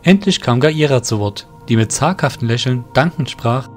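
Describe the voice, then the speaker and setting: A man narrating an audio drama in an expressive storytelling way, over music. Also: medium pitch, moderate pace. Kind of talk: scripted narration.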